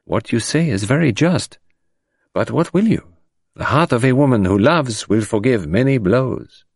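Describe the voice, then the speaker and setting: Man playing an ironical detective, lively pitch. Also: moderate pace, no room sound, studio quality. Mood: sympathetic. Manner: gentle, ironical, emphatic. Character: middle-aged Belgian male detective, courteous, shrewd, gently ironical. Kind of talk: audiobook character voice.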